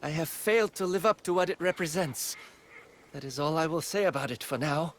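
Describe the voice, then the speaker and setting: Man as a fiery, high-voiced sword fighter. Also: fairly quick, slight background noise, lightly acted. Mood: pleading. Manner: defiant, intense, guarded. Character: proud, defensive young sword fighter, earnest protector. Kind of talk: video game cutscene acting.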